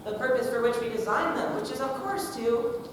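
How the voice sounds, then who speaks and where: A woman's voice: on the high side, lively intonation, brisk, lightly acted. A teenage girl acting a pompous, easily panicked female scientist in a stage comedy, speaking in grand declamations. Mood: pompous, declamatory. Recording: distant echoey hall, noisy stage recording.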